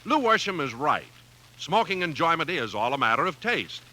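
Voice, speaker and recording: A man's voice: on the high side, very animated, moderate pace, sharp tone, rough recording. A man reading scripted copy as a 1950s radio announcer. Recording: old radio broadcast, music and audience behind.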